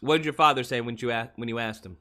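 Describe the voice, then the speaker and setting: A man's voice: mid-range voice, quick pace, bright, forceful tone. A man hosting a live call-in show, blunt and profane, mocking his callers.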